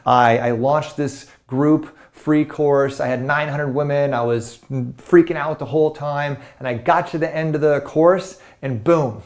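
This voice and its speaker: A man's voice: fairly high pitch, fairly even intonation, unhurried, few pauses. A young man speaking as a life coach, giving an enthusiastic, conversational motivational talk full of "right?" and "you know".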